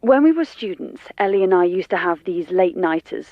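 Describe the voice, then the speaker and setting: A woman's voice: lowish voice, expressive, fairly quick, dry recording. Guarded woman, dull phone sound. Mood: confiding, thoughtful. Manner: hesitant, confiding. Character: guarded young actress, reluctant but candid friend. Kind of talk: naturalistic radio drama dialogue.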